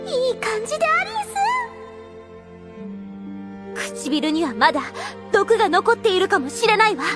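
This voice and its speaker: Haughty undead girl, extremely high and swooping, scheming and theatrical, quaint courtly diction, anime audio drama. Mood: excited, calculating.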